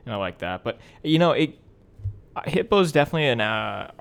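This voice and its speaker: Man, young adult, medium-pitched voice, opinionated, slangy, casual podcast co-host. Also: big pitch swings, fairly quick, vocal fry. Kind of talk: casual chat.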